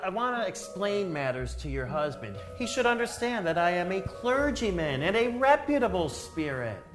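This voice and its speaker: A man's voice: high-pitched, sing-song, normal speed. Actor as prim clergyman spirit: formal, self-important, staged period reading with faint music underneath. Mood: earnest. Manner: formal, pompous. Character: prim, respectable male clergyman ghost. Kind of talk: dramatic reading of a period comic story.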